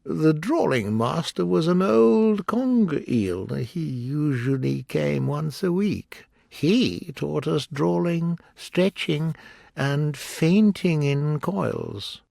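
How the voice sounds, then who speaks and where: A gloomy storybook sea creature that sighs through every word, as a man puts him on. The voice sits high and is dragged out at a slow, mournful crawl, with gravel in it. Close, dry sound. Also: animated, a little mellow, dry recording, heavily acted. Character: melancholy sighing sea creature, male, old. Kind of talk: audiobook reading.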